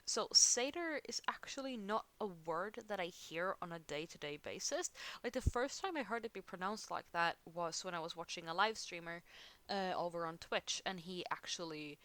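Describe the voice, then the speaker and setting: A woman's voice: fairly low pitch, lively intonation, moderate pace, a bit bright. A woman from Sweden with a Swedish accent, speaking English as a second language, chatting casually into a close headset mic while she draws. She rambles and laughs at herself often. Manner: rambling, giggly, self-deprecating. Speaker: art channel host. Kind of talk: casual chat over drawing. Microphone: headset close to mouth.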